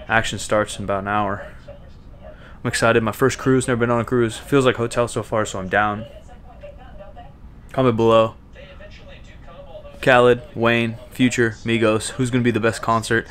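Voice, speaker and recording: A man's voice: fairly low pitch, fairly even intonation, normal speed, pauses often, a bit bright. Laid-back young man vlogging on a ship. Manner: laid-back, slangy, many fillers. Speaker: fitness vlogger. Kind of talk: casual vlog talk to camera. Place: small ship cabin, then ship deck. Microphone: handheld camera mic at arm's length.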